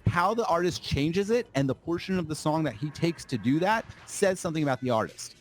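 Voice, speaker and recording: A man's voice: a little high, expressive, brisk, a little hiss or hum. A man chatting casually on a podcast, slipping into an opinionated rant, emphatic and repetitive, with plenty of swearing.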